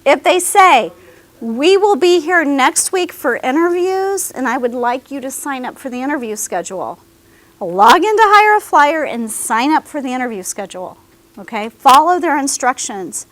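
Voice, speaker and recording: Woman, career presenter, high voice, very animated, dry close sound. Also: moderate pace, dry recording. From American South. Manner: animated, addresses students directly, checks in with "okay?". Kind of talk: lively classroom presentation. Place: classroom with students.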